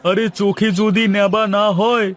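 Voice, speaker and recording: A man's voice: high voice, little pitch movement, normal speed, pitched above the performer's own voice, lightly acted. A man voicing an irritable, sarcastic grocer in a cartoon, scolding as he talks. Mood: irritated. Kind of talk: cartoon voice acting.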